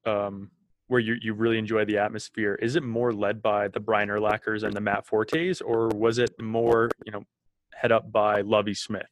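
Low-voiced easygoing podcast host. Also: male voice, flat delivery, normal speed, dry recording, poor audio. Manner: easygoing, brief acknowledgments. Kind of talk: interview questions.

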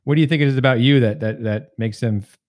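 A man's voice: medium pitch, fast, darkish tone. An American man hosting a podcast, asking informal, rambling questions that he keeps rephrasing. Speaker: podcast host, interviewer. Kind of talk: casual interview questions.

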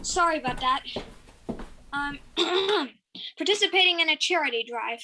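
A woman's voice: high-pitched, animated, moderate pace, pushed and bright, a little hiss or hum, poor audio. A young female student playing an eccentric, self-absorbed lady employer in an audio drama, wordy and grandly theatrical. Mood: breezy. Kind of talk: youth audio drama acting.

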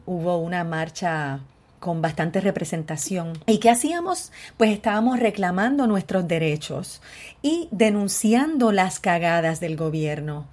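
Puerto Rican woman host, slightly low, dark and mellow tone, frank and outspoken delivery. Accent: Puerto Rican Spanish. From Puerto Rico. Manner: outspoken, candid, openly frustrated. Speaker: podcast host. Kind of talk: solo podcast monologue.

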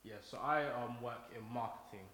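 A young man gives a relaxed, soft-spoken sermon at a middle pitch, often pausing with ums. His voice goes through a microphone and rings in a large church hall with long reverb. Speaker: preacher. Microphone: handheld or stand microphone.

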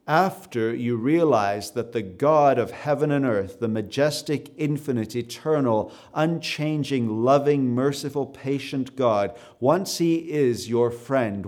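Canadian man preaching with fillers. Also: medium pitch. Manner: conversational, with frequent fillers and repeated words; builds to emphatic, louder stresses. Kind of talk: sermon to a live audience.

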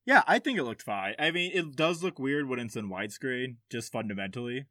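Man, podcast host, average pitch, dry close sound, casual chat, jokey, sweary. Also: animated, fairly quick, pushed and bright, dry recording.

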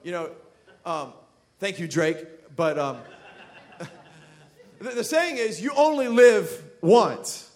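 A male pastor with a very high voice preaches to his church. His delivery is conversational and jokey, and it swells into loud, emphatic stretches. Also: lively intonation, slow, pauses often. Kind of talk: sermon. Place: church sanctuary.

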